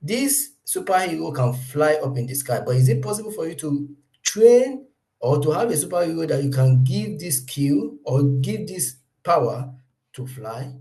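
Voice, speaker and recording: Man, tutor, mellow tone, polite, hesitant restarts, video call. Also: fairly high pitch, very animated, normal speed, poor audio. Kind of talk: friendly class introduction.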